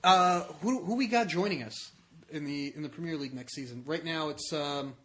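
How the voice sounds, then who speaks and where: A man's voice: fairly high pitch, moderate pace, a bit bright, a little hiss or hum. A man co-hosting a sports podcast, talking soccer casually and often repeating and rephrasing himself as he explains.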